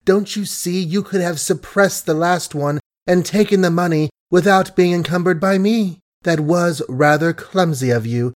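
A man's voice: on the high side, expressive, measured pace, hardly any pauses, a little mellow. A man voicing a young woman who mocks with sweet, suave sarcasm in an audiobook reading. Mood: sweetly mocking. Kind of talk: audiobook character voice.

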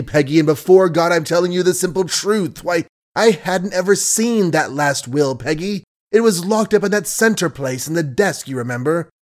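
A man's voice: a little high, darkish tone, voice pushed up. Male reader as a young man begging, voice shaking, storybook reading. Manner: pleading, voice shaking and cracking. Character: young man, desperate and pleading. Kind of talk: audiobook character voice.

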